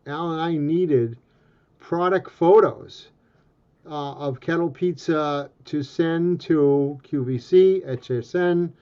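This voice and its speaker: A man hosts an interview over a video call, his voice coming through dull lo-fi call audio and sitting a little high for a man. His questions ramble and stop and start. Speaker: host, interviewer.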